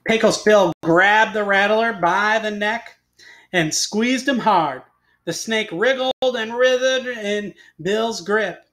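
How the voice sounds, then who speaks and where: Slow, high-voiced man reading a tall tale. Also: fairly bright tone. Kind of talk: animated storybook read-aloud.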